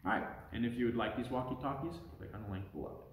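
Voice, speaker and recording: A man's voice: medium pitch, fast talker, a little hiss or hum. A middle-aged American man talking casually as a father reviewing a product on a family vlog. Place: living room at home. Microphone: camera a short distance away. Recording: roomy home sound with music behind.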